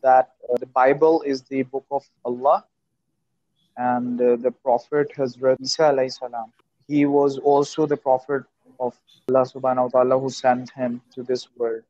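A man in his thirties from Pakistan, an Urdu speaker, talking on an earphone mic over a video call, measured with frequent uh pauses. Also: medium pitch, level tone, warm tone, dry recording. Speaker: English learner and channel host. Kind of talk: casual video call conversation. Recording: dull, dry video call audio.

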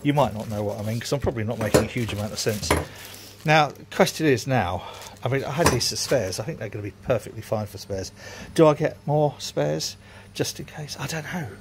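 A man's voice: medium pitch, brisk, background noise. A man, rambling casually and self-mockingly while filming his home brewery workshop on a handheld phone.

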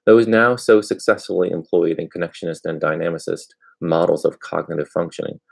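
A man in his thirties with a gravelly, husky voice, reading aloud from an academic book in a halting way, sometimes correcting himself. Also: low voice, steady tone. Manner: halting, with misreadings and self-corrections. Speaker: amateur book reader. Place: furnished room at home, indoors.

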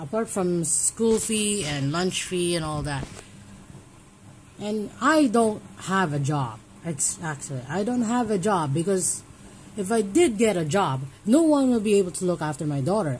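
A woman's voice: medium pitch, animated, unhurried, darkish tone, slight background noise, low-quality recording. A young woman from Hong Kong talking casually at home in a personal vlog, rambling and restarting her sentences as she thinks aloud. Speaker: vlogger. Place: home, indoors.

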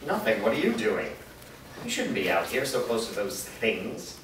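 A man in a staged reading playing a cautious, quietly cunning old father with a probing, placating manner. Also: lowish voice, huge pitch swings, normal speed. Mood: wary probing. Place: indoor performance room, seated before an audience. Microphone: far camera. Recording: distant mic, very echoey noisy room.

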